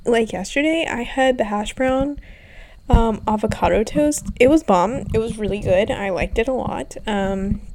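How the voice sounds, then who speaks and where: A woman's voice: a little high, measured pace, a little mellow, slight background noise. A young woman in her twenties, stuffy and congested from being sick, rambling casually with lots of ums and some swearing. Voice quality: stuffy nasal. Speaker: vlogger. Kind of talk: casual personal chat.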